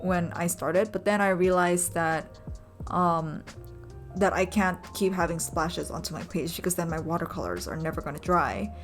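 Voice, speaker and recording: A young woman with a lower voice narrates a sketching vlog at an easy, rambling pace, often pausing with ums. She is a native Indonesian speaker talking in English, with soft music playing under her voice. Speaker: vlogger and urban sketcher. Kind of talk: casual voice-over narration.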